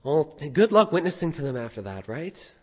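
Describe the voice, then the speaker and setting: A hoarse man preaching a sermon as pastor, animated, with rhetorical questions. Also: on the high side, moderate pace, big-room sound.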